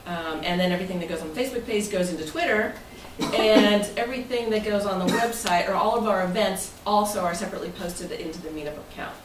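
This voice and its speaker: A woman's voice: mid-range voice, quick pace, hardly any pauses. Distant, roomy-sounding woman presenting informally. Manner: chatty, rambling, loosely phrased. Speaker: club president. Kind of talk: informal presentation to club members. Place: room at a club meeting. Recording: roomy, noisy recording from far off.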